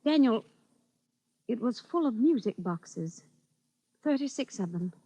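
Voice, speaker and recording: High-voiced, suspicious radio-drama wife. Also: female voice, expressive, unhurried, mellow, husky, no echo. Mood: suspicious, anxious. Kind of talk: 1940s radio acting.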